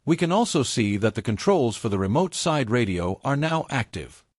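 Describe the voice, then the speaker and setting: A man reading a scripted technical tutorial step by step in a measured, methodical way. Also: medium pitch, sing-song, no echo, clean studio sound. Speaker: tutorial presenter.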